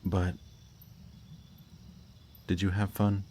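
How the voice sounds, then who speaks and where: Man acting as a very deep-voiced smug villain. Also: flat delivery, a little hiss or hum. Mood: wistful, coaxing. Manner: teasing, unhurried, softly menacing. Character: villain kidnapper with powers, smug, flirtatious, falsely romantic. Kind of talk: roleplay audio drama, intimate monologue to the listener. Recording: outdoor night bird and insect ambience. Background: birdsong and crickets at night.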